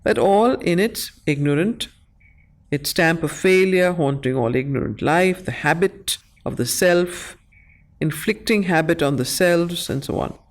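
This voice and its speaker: A woman with an Indian accent reciting verse and explaining it as a study circle facilitator, in a deliberate, emphatic, explanatory way. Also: fairly low pitch, expressive. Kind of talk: recitation of verse with teaching commentary.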